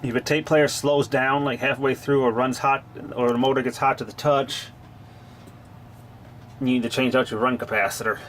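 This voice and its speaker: Clear background noise sits under this amateur recording of a man talking. His voice is mid-pitched, and he narrates hands-on repair work in a casual, unscripted way. The delivery is plain-spoken and informal, like a hobbyist explaining things as he works. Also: fairly even intonation, moderate pace, a bit bright. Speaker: hobbyist repairer of vintage tape machines.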